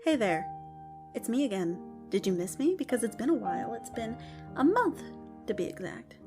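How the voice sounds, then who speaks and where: A woman's voice: on the high side, very animated, moderate pace. A woman hosting a podcast, speaking casually and playfully to listeners as if to friends. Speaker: podcast host. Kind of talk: casual, chatty audio drama outro. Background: prominent music.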